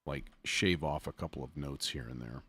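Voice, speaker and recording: A man's voice: rumbling low, moderate pace, a bit bright, a little hiss or hum. A man hosting a casual live music production demo, muttering as he thinks aloud with folksy phrasing. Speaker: music production tutorial host.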